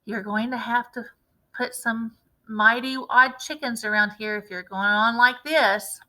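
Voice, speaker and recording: A fretful, doubting wife who nags that nothing will work, talking in a bright, raised voice, as a woman reading a storybook puts her on. She sounds anxious and disapproving. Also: on the high side, a little slow, rough recording. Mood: nagging doubtful. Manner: sceptical, fretful. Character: doubting, nagging farmer's wife.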